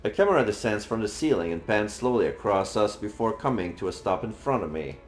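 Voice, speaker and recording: A man streaming a read-aloud of a visual novel, narrating in an expressive storytelling style. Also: fairly low pitch, fairly quick. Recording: roomy sound, faint background music.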